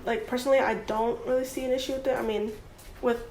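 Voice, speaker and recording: A woman with a somewhat high voice chats casually as an interviewee, peppering her talk with "like." The room is echoey, with faint noise underneath. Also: steady tone, fast talker. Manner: uses "like" constantly, conversational. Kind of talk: casual chat.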